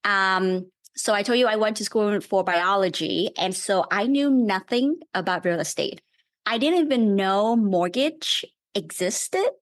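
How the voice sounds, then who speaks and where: Brief: woman in her 30s, Vietnamese accent, mid-pitched, patient and friendly, unpacks ideas step by step. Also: lively intonation, measured pace. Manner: friendly, thoughtful, explains things step by step. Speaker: guest real estate investor. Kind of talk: casual podcast interview.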